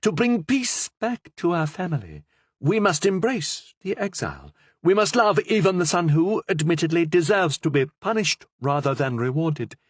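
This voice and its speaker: Man doing an old queen mother, higher voice, pleading, formal, husky, swooping. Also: sing-song, moderate pace, no room sound. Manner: imploring, formal speech. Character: pleading older queen mother, earnest and insistent.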